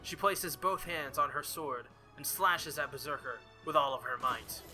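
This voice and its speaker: A man's voice: on the high side, moderate pace, pushed and bright, faint music behind. A man doing a visual novel playthrough, reading the story aloud dramatically and dropping in casual asides. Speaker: let's player.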